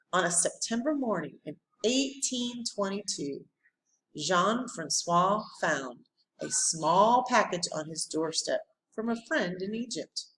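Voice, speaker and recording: A woman reads a children's history storybook aloud in a medium-pitched voice. Her delivery is unhurried and highly expressive, with deliberate pauses for drama. A light background noise sits behind her. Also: low-quality recording.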